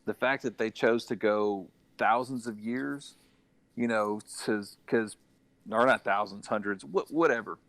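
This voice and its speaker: A man's voice: fairly low pitch, unhurried, fairly bright tone, dry recording. A man co-hosting a casual gaming discussion, sharing opinions as he thinks them through aloud. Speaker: gaming podcast co-host.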